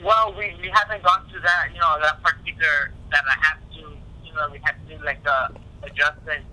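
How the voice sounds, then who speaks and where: A man speaking as a boxer in a casual phone interview, halting and repeating himself, with frequent "you know". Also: on the high side, fairly even intonation, pushed and bright. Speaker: professional boxer being interviewed. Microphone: telephone call. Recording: tinny phone line.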